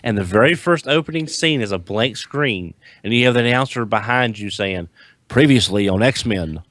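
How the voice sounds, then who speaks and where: A man's voice: mid-range voice, expressive, normal speed, fairly bright tone, no echo. A man speaking as a panelist in a casual podcast conversation. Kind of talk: casual chat.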